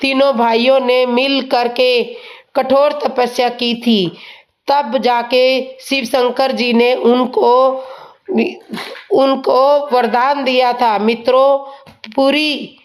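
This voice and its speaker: A woman with a sore, hoarse throat telling a devotional story in a slow, humble way. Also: high-pitched, level tone. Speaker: storyteller of religious tales.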